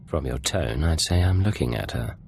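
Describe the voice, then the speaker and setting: A very deep, gravelly, wry operative. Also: male voice, animated, moderate pace, darkish tone, slight background noise, heavily acted. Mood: wry, probing. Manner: wry, dry. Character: gruff male partner, wry and probing. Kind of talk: video game voice acting.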